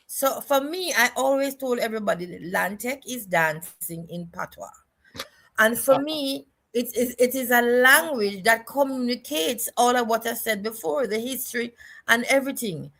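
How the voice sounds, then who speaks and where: An older woman with a Jamaican accent, speaking as an interview guest in a reflective, deliberate way and repeating phrases for emphasis. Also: fairly high pitch, expressive, a bit bright. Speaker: guest, choreographer and dance educator.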